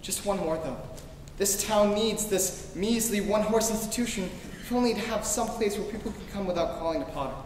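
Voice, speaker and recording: A man's voice: high-pitched, a little fast, few pauses, darkish tone, close to an everyday voice. An earnest young idealist, acted by a man in a live radio play, speaking with passion. The sound is distant, reverberant and slightly noisy. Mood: impassioned. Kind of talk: staged old-time radio play acting. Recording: echoey hall from far off, noisy.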